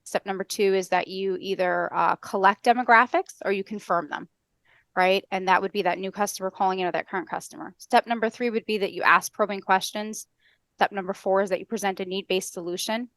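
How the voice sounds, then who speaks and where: A middle-aged American woman on a headset, explaining step by step as a podcast guest in a brisk, structured, instructional way. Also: medium pitch, fairly even intonation, fairly bright tone, no echo. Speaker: podcast guest, customer service trainer. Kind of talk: explanatory interview talk with acted-out call examples. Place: indoor room on a video call.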